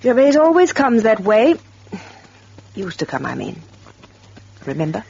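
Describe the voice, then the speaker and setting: A performer playing a terse, brooding farmhouse character in a radio drama, with a controlled manner. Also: male voice, high voice, huge pitch swings, a little slow, creaky, darkish tone, slightly rough, heavily acted. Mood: tense waiting. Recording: muffled old radio recording.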